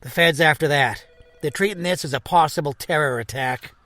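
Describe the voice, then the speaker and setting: An actor playing a brusque, prejudiced senior police officer in an audio drama, gruff and blunt. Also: male voice, on the high side, moderate pace, pushed and bright, no echo. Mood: grim, weary.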